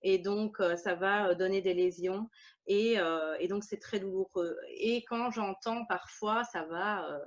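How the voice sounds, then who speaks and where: A woman giving a personal account as an interview guest, speaking haltingly and searching for her words. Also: mid-range voice, dull audio. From Belgium. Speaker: interview guest, women's energy healer and coach. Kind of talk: personal testimony in an informal interview.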